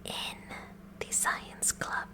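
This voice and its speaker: A woman playing a kooky, eager teenage science club president in a breathy whispered ASMR roleplay, speaking in a deliberate, mock-official way. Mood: officious, eager. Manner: slow, deliberate, mock-official with awkward stammers.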